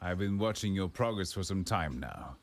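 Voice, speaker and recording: A man's voice: low voice, animated, moderate pace, soft background music. A man from Norway giving playful, theatrical, joking commentary as he streams a game.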